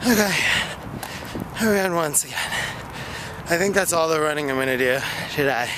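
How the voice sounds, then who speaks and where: A man's voice: fairly high pitch, expressive, normal speed. A man in his thirties talking to a phone held at arm's length while walking in a park, chatty and rambling with many fillers and out of breath. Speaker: vlogger. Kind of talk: casual walking vlog. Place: outdoor park path. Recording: noisy outdoor phone recording.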